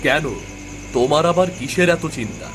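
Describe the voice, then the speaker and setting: A man's voice: fairly high pitch, lively intonation, normal speed, lightly acted. A man voicing an easygoing, indulgent village father and husband in a moral-story cartoon, casual and dismissive of worries.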